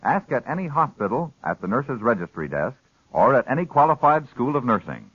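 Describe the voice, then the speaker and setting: Husky, animated vintage radio announcer man. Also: mid-range voice, brisk, no room sound. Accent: American. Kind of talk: 1950s scripted radio announcing. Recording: dry, dull old radio recording.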